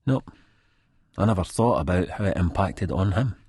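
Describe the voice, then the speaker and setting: Audiobook narrator, male, Scottish: low and heavily rasping, expressive range, colloquial first-person storytelling. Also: normal speed, no echo, clean studio sound.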